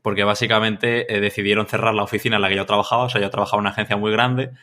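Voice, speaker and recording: A man from Mallorca speaks with an accent from Spain as a podcast guest. His voice is slightly low yet bright, with little melodic movement. He talks casually and ramblingly, doubling back on words and adding tag questions. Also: flat delivery, very fast. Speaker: podcast guest, freelance content creator. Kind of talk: casual conversational interview answers.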